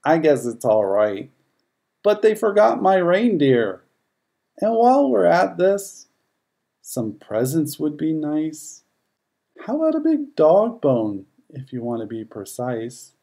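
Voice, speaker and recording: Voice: medium pitch, very animated, slow, creaky, warm tone, heavily acted. A narrator voicing a good-natured, wry bulldog in a rhyming children's storybook. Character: good-natured bulldog reluctantly dressed as Santa.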